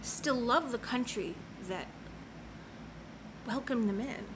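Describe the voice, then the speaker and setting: A woman telling a personal story in an earnest, impassioned way, swinging from soft to loud. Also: fairly high pitch, takes it slowly, background noise. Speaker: passport office clerk. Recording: loud music under the voice.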